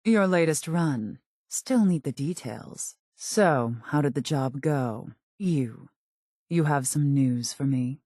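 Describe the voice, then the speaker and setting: Low, gravelly, cold raider boss. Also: female voice, no echo, clean studio sound, full character voice. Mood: curt, commanding. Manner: composed, formal, measured, with quiet menace. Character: cold, calculating female raider gang leader. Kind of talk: video game character voice acting.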